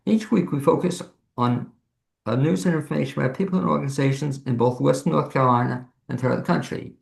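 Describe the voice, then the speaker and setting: A man with a very creaky, gravelly voice hosts an interview in a conversational way. His pitch is average for a man, and the room echoes around him over a video call. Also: quick pace. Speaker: interview show host. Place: home office studio, on a video call.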